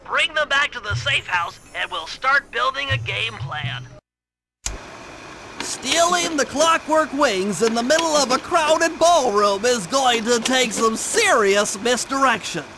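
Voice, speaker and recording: A man's voice: very high-pitched, expressive, a little slow, music in the background, full character voice. A man voicing a nerdy, cautious turtle mastermind in a cartoon game, with a nasal voice and precise, wordy, earnest briefings. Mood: analytical. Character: nerdy, cautious male turtle mastermind, planning heists over radio.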